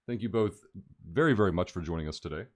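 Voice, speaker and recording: A man's voice: low voice, fast. A man hosting a live-streamed interview, asking loose, rambling questions with enthusiasm. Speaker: host.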